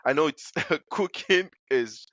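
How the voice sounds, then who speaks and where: A man's voice: a little high, animated, slow, a bit bright, no echo. A man from Africa hosting a podcast interview, asking questions hesitantly with many fillers. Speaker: podcast host. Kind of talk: casual interview. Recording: dull dry sound.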